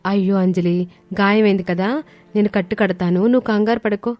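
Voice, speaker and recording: A woman voicing a gentle, selfless eldest daughter-in-law, respectful and traditional, with a polite, pleading manner. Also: medium pitch, fairly even intonation, moderate pace, no room sound, lightly acted. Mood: caring. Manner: polite, soft-hearted, pleading. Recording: studio voice over background music.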